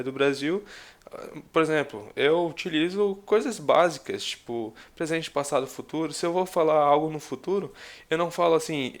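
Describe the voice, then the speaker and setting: A Brazilian man in his twenties with a Brazilian Portuguese accent, talking casually to his viewers about language in a relaxed, rambling way with many fillers and restarts. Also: on the high side. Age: 20s. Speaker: language vlogger. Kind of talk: casual talk to camera.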